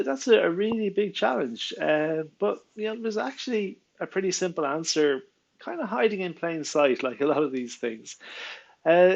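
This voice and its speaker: A man's voice: fairly high pitch, creaky, highs cut off. An Irish man giving a casual spoken lesson on writing in Irish, chatty and often laughing, with plenty of ums and uhs. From Ireland. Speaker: Irish language teacher.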